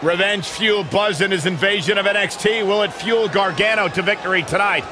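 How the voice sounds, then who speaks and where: A man voicing an excited lead play-by-play wrestling announcer in a video game, with an emphatic, projected delivery. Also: high-pitched, fairly quick, lightly acted. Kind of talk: excited sports commentary. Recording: loud arena noise behind voice.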